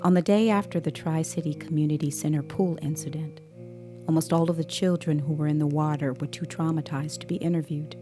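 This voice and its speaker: A woman playing a composed, observant child interviewer, narrating an audio drama in a measured, deliberate way. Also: sits low, fairly even intonation, warm tone, soft background music, natural voice. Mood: grave, measured. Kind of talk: scripted dramatic first-person narration. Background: musical scoring.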